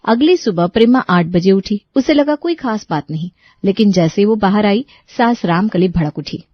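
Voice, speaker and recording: A woman's voice: medium pitch, expressive, moderate pace, dry recording, highs cut off. A woman storyteller reading scripted narration for a family moral story.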